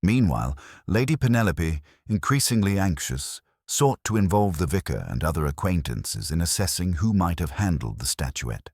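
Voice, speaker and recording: Male narrator for scripted mystery fiction: very deep, expressive pitch movement, formal and measured, close dry sound. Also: husky, no room sound.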